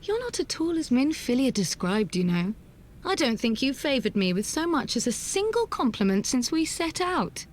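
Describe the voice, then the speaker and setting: A woman's voice: high-pitched, very animated, moderate pace, hardly any pauses, slight background noise. An actress playing a spirited young adventurer in a fantasy game, arch and wordy as she needles playfully. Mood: teasing.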